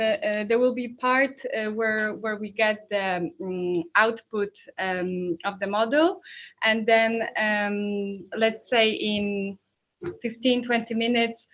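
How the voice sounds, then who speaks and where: A woman with a Polish accent giving an informal technical workshop in a hesitant, halting way with frequent pauses. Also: mid-range voice, highs cut off. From Poland. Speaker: workshop instructor.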